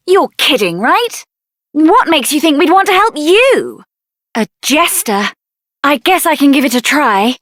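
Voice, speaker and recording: A woman voicing a headstrong young female mage adventurer in a video game, with an assertive, sassy and expressive delivery. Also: sits high, no echo, clean studio sound, exaggerated voice. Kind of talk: video game character voice acting.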